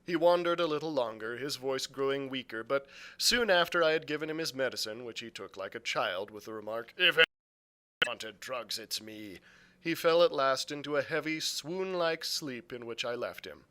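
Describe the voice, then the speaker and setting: A man's voice: mid-range voice, fairly quick, a bit bright, clear, resonant tone. A man reading a classic adventure story aloud as a youth librarian, in narrated storybook style.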